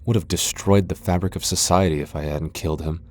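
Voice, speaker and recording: Very deep, brooding vampire lord acted by a man. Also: fairly even intonation, brisk, darkish tone. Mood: grim, scornful. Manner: haughty, sardonic, reluctant. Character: tsundere male vampire lord, proud, guarded, brooding loner. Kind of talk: ASMR boyfriend roleplay audio drama.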